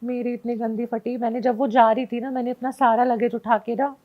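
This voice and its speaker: High-pitched woman, casual storyteller. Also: level tone, brisk, narrow, tinny audio. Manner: mixes Hindi with frequent English phrases. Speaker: guest telling a personal ghost story.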